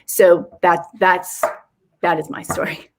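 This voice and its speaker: A woman on a live online panel describing her start-up work, speaking haltingly and correcting herself. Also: fairly low pitch, flat delivery, background noise. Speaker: panel guest, founder.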